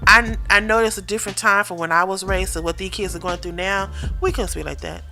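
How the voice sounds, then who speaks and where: A woman's voice: mid-range voice, steady tone, brisk, sharp tone, soft background music. A woman from East Texas with an East Texas accent, co-hosting a casual podcast chat and acting out voices when she retells things. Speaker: podcast co-host.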